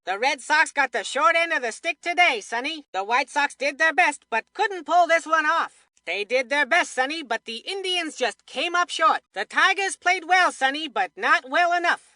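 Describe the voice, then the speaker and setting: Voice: sits high, lively intonation, moderate pace, hardly any pauses, sharp tone, no room sound, clean studio sound. An actor playing a folksy old-timer baseball commentator in a cartoon video game, with old-timey phrasing. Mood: sympathetic. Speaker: sports commentator.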